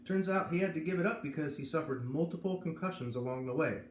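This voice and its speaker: Grave, kindly physician read by a man, measured and careful, for a children's book read-aloud; echoey room, some noise. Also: a little high, voice pushed up, close to an everyday voice. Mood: grave explaining. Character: grave, kindly male doctor with greying hair. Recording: roomy echo, noticeable background noise.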